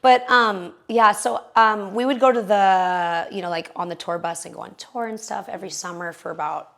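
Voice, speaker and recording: A young woman with a raspy voice, a singer chatting as a guest in an interview, full of "you know" and "like". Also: mid-range voice, moderate pace, a bit bright. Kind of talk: casual interview chat.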